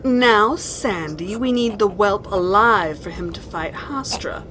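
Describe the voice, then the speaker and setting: A woman's voice: medium pitch, takes it slowly, fairly bright tone, a little hiss or hum. A woman playing a commanding, scheming villainess in a fantasy audio drama, with imperious, theatrical delivery. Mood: commanding, cold. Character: scheming, commanding villainess plotting to seize power.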